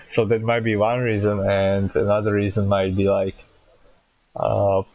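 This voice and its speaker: A man hosts a podcast in a low, flat voice, pausing and restarting words as he feels for the right phrasing. The sound is dry and slightly dull, with no room echo. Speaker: podcast host. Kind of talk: podcast interview conversation.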